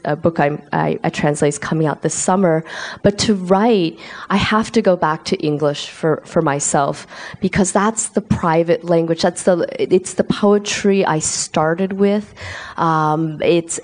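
Thoughtful woman author, halting answers. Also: fairly low pitch, darkish tone. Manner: thoughtful, many pauses and restarts. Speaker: author being interviewed. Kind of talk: reflective interview answers. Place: lives in South Korea.

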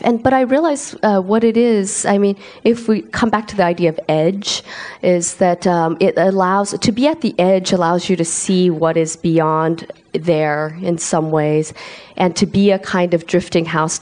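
A woman author answering interview questions in a thoughtful, searching way, with frequent pauses and restarts. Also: deep voice, a little mellow. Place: lives in South Korea.

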